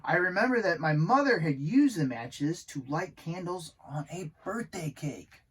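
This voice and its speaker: A man's voice: fairly high pitch, lively intonation, measured pace, a bit bright, husky, full character voice. A man voicing an earnest, childlike young dog in a children's storybook, speaking simply to kids. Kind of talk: children's storybook read aloud.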